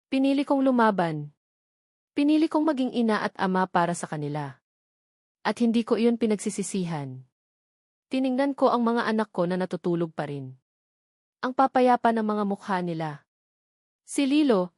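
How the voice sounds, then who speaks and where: A woman's voice: mid-range voice, a little mellow, dry recording, studio quality. A woman with a Filipino accent reads a scripted first-person dramatic story in short, earnest, sentimental phrases. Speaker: story narrator.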